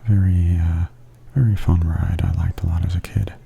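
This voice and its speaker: A man giving softly spoken ASMR tour commentary in a hushed, breathy half-whisper. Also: low-pitched, little pitch movement, moderate pace, muffled recording. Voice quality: soft, breathy. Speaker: host of ASMR walking tour videos.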